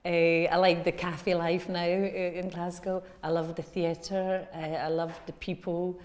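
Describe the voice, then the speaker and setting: A middle-aged woman from Poland whose first language is Polish, speaking reflectively and hesitantly in an interview, with frequent pauses. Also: on the low side, flat delivery, darkish tone.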